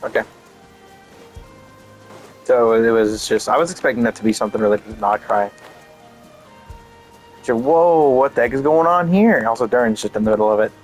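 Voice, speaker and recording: A man's voice: mid-range voice, normal speed, pauses often, soft background music, low-quality recording. Rambling man commenting over a game. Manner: rambling, thinking aloud. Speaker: gaming video host. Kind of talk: casual playthrough commentary.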